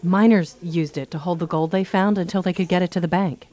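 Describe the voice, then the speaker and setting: Actress as a mellow, curious townswoman. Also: lowish voice, fairly even intonation, brisk, natural voice. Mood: curious, concerned. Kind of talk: radio play acting.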